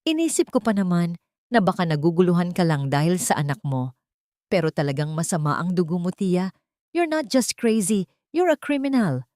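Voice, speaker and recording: A woman's voice: fairly low pitch, animated, moderate pace, darkish tone, no echo, natural voice. A scheming, falsely sweet fiancée played by a woman lays on honey over steel as she accuses. The reading is close and dry, with no room sound. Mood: accusing.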